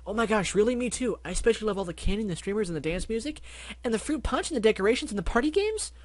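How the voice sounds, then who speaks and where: A man's voice: high voice, animated, rapid-fire, no echo, pitched above the performer's own voice. A man voicing a cheerful, party-loving male pony who gushes eagerly. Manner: eager and gushing.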